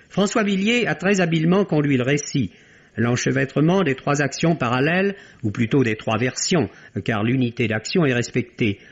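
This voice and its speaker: Male critic, mid-pitched, articulate and literary, reading a review on a dull vintage radio recording. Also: slightly rough. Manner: formal, literary, articulate, rhetorical. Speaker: film critic on a radio program. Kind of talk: scripted film review, 1960s French radio.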